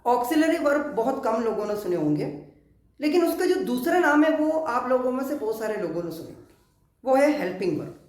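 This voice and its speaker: A man from Kerala, teaching a spoken English grammar lesson in a slow, deliberate way with many English terms. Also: very high-pitched, very animated. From Kerala, India. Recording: echoey room.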